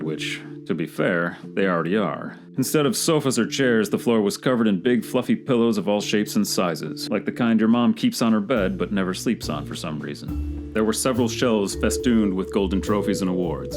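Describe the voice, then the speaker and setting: A man's voice: fairly low pitch, lightly acted. A man playing a wisecracking, self-assured private detective in an old-time comic radio serial, narrating in clipped noir style with dry asides.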